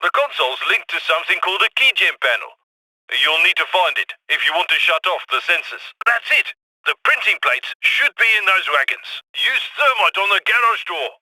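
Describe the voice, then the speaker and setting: An actor playing a scrappy male heist handler in a video game, giving brisk, urgent instructions over radio comms. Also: high-pitched, animated, bright, forceful tone, no room sound, heavily acted, low-quality recording. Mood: businesslike.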